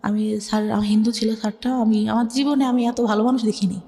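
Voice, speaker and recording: Soft, hesitant young Bangladeshi woman. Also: fairly high pitch, little pitch movement, brisk, in a large hall. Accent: Bangladeshi Bengali. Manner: hesitant, soft, many filler words. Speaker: interview guest. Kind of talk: personal life story in conversation.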